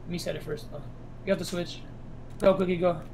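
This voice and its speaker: A man's voice: high voice, little pitch movement, fast, heavy background noise. A man streaming live gameplay, talking to his teammates in profane, slangy speech. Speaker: gaming streamer. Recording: loud music under the voice. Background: loud music.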